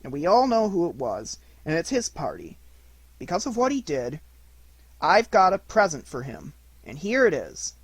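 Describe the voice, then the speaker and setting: A man's voice: a little high, huge pitch swings, moderate pace, husky, no room sound, pitched above the performer's own voice, exaggerated voice. A man voicing a kind, eager young boy in a storybook reading, with an affectionate, childlike manner. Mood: proud, announcing.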